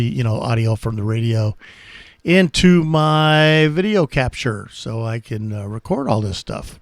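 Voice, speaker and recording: A man hosting casual amateur radio contacts, talking in a friendly, halting way. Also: mid-range voice, no echo, studio quality. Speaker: amateur radio operator and channel host.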